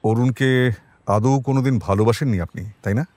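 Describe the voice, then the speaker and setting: A man playing a composed police detective in an audio drama, questioning politely but pointedly in a measured manner. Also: medium pitch, a little mellow, no echo, close to an everyday voice. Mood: probing.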